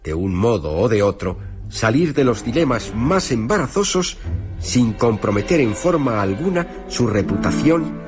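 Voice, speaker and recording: A man narrating a literary radio drama as a storyteller, with wry, formal and deliberate phrasing. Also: mid-range voice, big pitch swings. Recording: music playing behind the narration.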